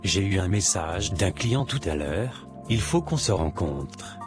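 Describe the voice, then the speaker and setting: A man's voice: low-pitched, animated, takes it slowly. A man voicing a mild-mannered, evasive husband in scripted cartoon dialogue, speaking tersely over music. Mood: evasive, calm. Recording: clear music behind the voice.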